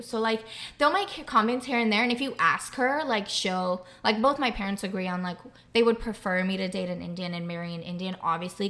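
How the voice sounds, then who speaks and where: A young adult woman speaking candidly as a podcast guest, halting often with fillers and restarts. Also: mid-range voice, gravelly, a bit bright. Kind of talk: candid conversational interview.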